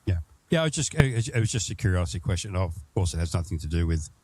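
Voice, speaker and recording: A man's voice: sits low, sing-song, fast talker, darkish tone, slightly rough. An Australian man hosting a travel podcast, chatting casually and rambling through his questions, often restarting them. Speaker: podcast host. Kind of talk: casual interview chat.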